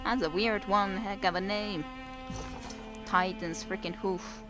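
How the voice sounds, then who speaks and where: A woman's voice: medium pitch, steady tone, slow pace. A woman with a Finnish accent giving casual, rambling commentary as she plays a video game, wry and chatting to her viewers. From Finland. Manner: rambling, wry, talks to herself and her chat. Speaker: streamer playing a video game. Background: game music.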